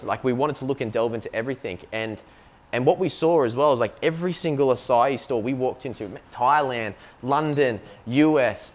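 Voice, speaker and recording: An Australian man, a business co-founder, talking casually in an interview and filling his speech with "like" and "you know what I mean". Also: mid-range voice. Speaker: company co-founder, guest.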